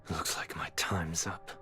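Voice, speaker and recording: Game role: disgraced young aristocrat, deep, unhurried, bitter, formal, music behind. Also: male voice, full character voice. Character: proud, fallen young nobleman, bitter and resigned. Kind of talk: English game voice acting. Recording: voice over loud game music.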